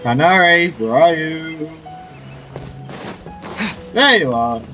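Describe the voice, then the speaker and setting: Male gamer, casual playthrough commentary, lively mid-pitched voice, game music behind. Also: slow pace, highs cut off. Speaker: gaming video host.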